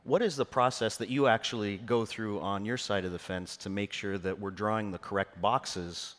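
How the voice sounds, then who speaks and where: A man's voice: fairly low pitch, steady tone, moderate pace, fairly bright tone. A man moderating a conference panel, asking questions in a conversational, joking way to the audience. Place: stage in a conference room. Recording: long reverb of a large hall.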